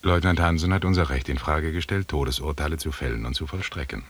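An actor playing a stern, commanding expedition leader in a German radio drama, speaking with measured authority. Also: male voice, extremely low pitch, slightly rough. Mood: controlled, challenging.

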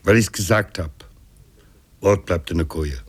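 An actor playing a calm, commanding sea captain in a radio drama, terse and firm, with a husky voice. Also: male voice, low-pitched, unhurried. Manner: curt, controlled authority. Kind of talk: German radio drama acting with narration.

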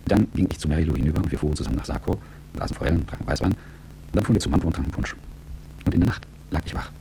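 A low, quick-spoken man in a radio drama, a calculating and world-weary executive looking back on his life. His telling is articulate and reflective, with a gravelly edge. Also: dark tone, a little hiss or hum, rough recording. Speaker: narrator and lead character. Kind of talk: radio drama first-person narration.

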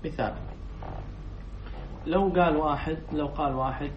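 Sheikh lecturing in an echoey hall. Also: male voice, a little high, moderate pace. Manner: quizzes students, then repeats their answers. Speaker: sheikh teaching a class. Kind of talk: lecture reading a text and explaining it. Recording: echoey room, distant dull mic, faint noise.

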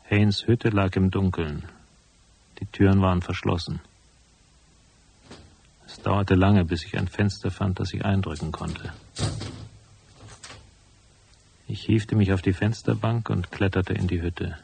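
Knarziger, abgebrühter Privatdetektiv, Hintergrundgeräusche. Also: male voice, sits low, steady tone, unhurried, vocal fry, background noise. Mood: somber narrating. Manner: laconic, sardonic. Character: dry, world-weary, hard-boiled male private detective. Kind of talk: radio drama dialogue and first-person narration.

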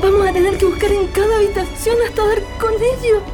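A woman's voice: extremely high pitch, steady tone, brisk, over music. An actress with a Chilean accent playing an anguished, insistent woman searching for her missing partner in a radio drama. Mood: horrified, distraught.

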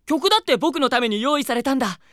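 Prickly idol-hopeful boy, haughty, curt, lively swooping pitch, dry studio sound. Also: fast, no echo. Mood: proud, bitter. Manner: haughty, boyish, curt speech. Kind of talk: Japanese anime-style audio drama acting.

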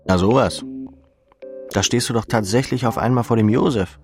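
A man's voice: on the low side, moderate pace, over music, close to an everyday voice. A man voicing a restless, grieving young clubber's self-lacerating inner monologue in dramatic, clipped phrases. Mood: edgy, intoxicated. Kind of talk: literary second-person monologue.

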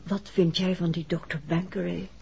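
A woman's voice: on the low side, little pitch movement, normal speed, vocal fry, warm tone, slight background noise. A woman playing a detective's inquisitive, chatty wife in a 1960s Dutch radio play, with an informal, confiding manner. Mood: chatty, curious. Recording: muffled vintage 1960s radio recording.

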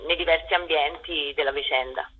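A young woman, a theater author and director, answering interview questions in a hesitant, halting way with many filler words. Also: sits low, fairly even intonation, phone-quality sound, a little hiss or hum.

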